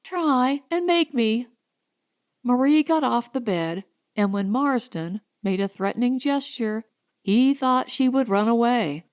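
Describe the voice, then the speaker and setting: A woman's voice: a little high, measured pace, a little mellow, dry recording, studio quality. A woman reading scripted story narration as an audiobook narrator.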